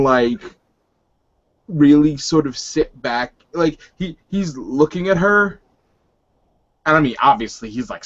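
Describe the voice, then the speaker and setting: A man's voice: a little high, highs cut off. A man improvising narration as a player in a tabletop roleplaying game.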